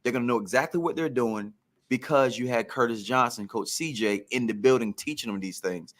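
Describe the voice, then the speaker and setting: Ex football player guy on a podcast, mid pitched voice, reflecting on his career, stresses points by repeating them. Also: a little fast. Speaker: former pro football wide receiver telling career stories.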